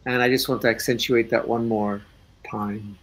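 A man speaking English on a video call, relaxed and thinking aloud with restarts as a guest speaker. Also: medium pitch, moderate pace. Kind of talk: casual talk on a video call.

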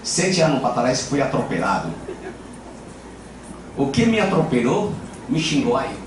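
Older guy w japanese accent lecturing, pitch jumps around a lot, big echoey hall, mic heard from far off. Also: sing-song, measured pace. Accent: Japanese-accented Portuguese. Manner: playful, self-deprecating storyteller. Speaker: acupuncture lecturer. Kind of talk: informal lecture with anecdotes. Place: large lecture hall with audience. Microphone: handheld microphone. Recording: echoey hall, camera at a distance, background noise.

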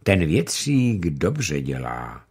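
A man reading the part of a gentle, wise old grandmother in an audiobook, speaking slowly and softly with a husky voice. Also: sits low, very animated, voice pushed down, exaggerated voice. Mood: calm, tender. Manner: slow, soft-spoken, unhurried.

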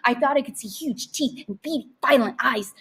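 Expressive woman storyteller, slightly high voice. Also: normal speed, husky. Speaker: teacher reading aloud.

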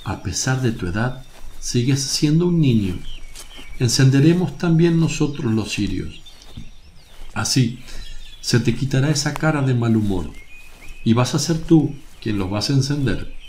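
An actor playing a serene, kindly religious teacher in a radio drama, speaking in a measured, solemn way. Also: male voice, medium pitch, lively intonation, a little mellow. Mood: gentle.

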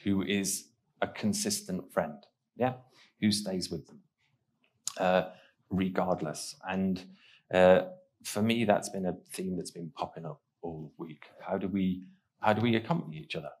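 A middle-aged man hosts a panel on a conference stage. He has a very deep voice and a musing, loose delivery full of uhs, caught by a headset mic. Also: measured pace, a little mellow. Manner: musing, with fillers. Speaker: host. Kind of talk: hosting a panel.

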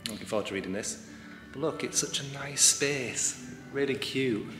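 A man's voice: medium pitch, moderate pace, music in the background, rough recording. A man talking casually and informally as a travel vlogger, with an upbeat, chatty manner. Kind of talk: casual vlog chat.

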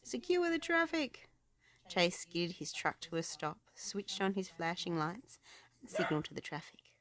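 A woman narrating a children's storybook aloud in an expressive way, paced for young listeners. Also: low voice.

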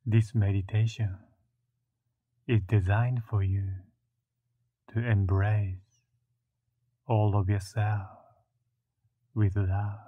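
A man leading a guided meditation in a soothing, measured way, with long pauses between short phrases and a narrow, muffled sound. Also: on the low side, level tone, dark tone, dry recording.

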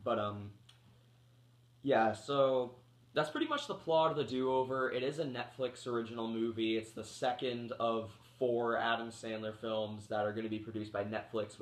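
Rambling man getting over a cold. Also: mid-range voice. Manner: rambling, full of um and like, restarts sentences. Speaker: movie reviewer. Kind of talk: casual rambling movie review. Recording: echoey room.

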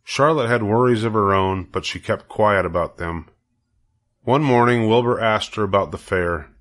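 A man narrating a children's story in a measured, deliberate way. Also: on the low side, fairly bright tone. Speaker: storybook reader.

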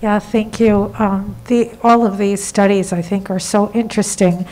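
A woman patient advocate asking measured, inquisitive questions in a meeting. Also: mid-range voice, flat delivery, darkish tone, rough recording.

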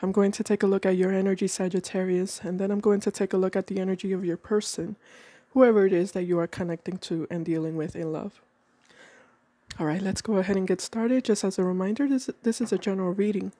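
A woman with a cold giving a calm tarot reading at a table indoors, slowing down as she names each card. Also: medium pitch, dry recording. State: has a cold. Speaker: tarot reader.

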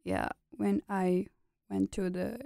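A young woman from Pakistan speaks in short, halting phrases and laughs often as a guest on a radio interview. Her first language is a regional Pakistani language. Her mid-pitched voice has a light fry to it, recorded close and dry. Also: flat delivery, dark tone, no echo. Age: young adult. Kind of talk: casual interview chat.

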